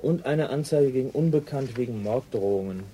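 A man plays a self-assured junior police detective in a radio drama. His medium-pitched voice is dark and relaxed, with cheeky, laconic banter. Faint background noise sits behind him. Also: a little fast, lightly acted. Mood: matter-of-fact. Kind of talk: naturalistic radio drama dialogue.

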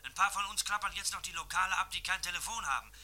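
Man acting a high-voiced, eager police assistant. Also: crowd noise, natural voice. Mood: dutiful, brisk. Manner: brisk, deferential reporting. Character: eager, dutiful male police assistant reporting to his boss. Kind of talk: German radio crime drama acting.

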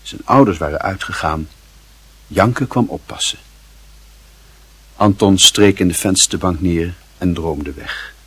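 A man narrating a literary radio play in a measured, formal and wry manner, with a husky voice. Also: deep voice, a bit bright, dry recording.

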